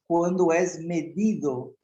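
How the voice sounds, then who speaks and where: A man preaching a sermon as pastor, a native Portuguese speaker who talks in slow, measured phrases and often restarts. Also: on the high side, dark tone, dull audio. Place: church hall. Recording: echoey church hall.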